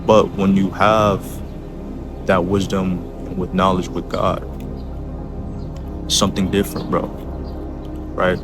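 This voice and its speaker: A twenty-year-old man giving casual, earnest motivational advice as a vlogger, urging the listener on and often checking in with short tag questions. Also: low voice. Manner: earnest, exhorting, repeats tag questions like a coach.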